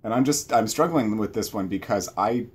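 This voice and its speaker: A man with a low, husky voice chats casually as a book channel co-host. He feels his way through sentences, often backing up to rephrase them. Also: a little fast.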